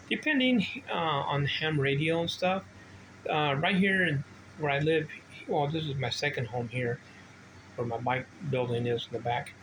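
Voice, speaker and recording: An older man giving a casual, rambling show-and-tell, drifting between informal asides as he talks. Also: medium pitch. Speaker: vintage bike collector demonstrating his gear. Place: indoor home workshop room. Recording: noticeable background noise.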